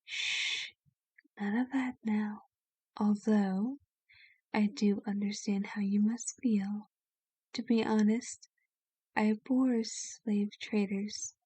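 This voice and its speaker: A woman playing a kind, genteel vampire in an ASMR roleplay, speaking gently and soothingly with formal wording and a soft, sometimes breathy voice. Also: mid-range voice, steady tone, takes it slowly, long pauses, no room sound.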